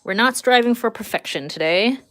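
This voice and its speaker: A woman's voice: on the high side, animated, a little fast, a bit bright. A woman in her thirties chatting casually and informally while livestreaming her drawing, with a giggly manner. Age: 30s. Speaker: livestreaming artist reading and answering viewer chat.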